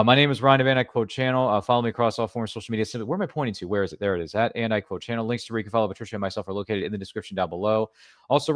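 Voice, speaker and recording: A man's voice: fairly low pitch, dry recording, studio quality. A man hosting a podcast, wrapping up the episode in a casual, upbeat and rambling way. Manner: upbeat, rambling, self-deprecating. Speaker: podcast host. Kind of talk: casual show wrap-up and plugs.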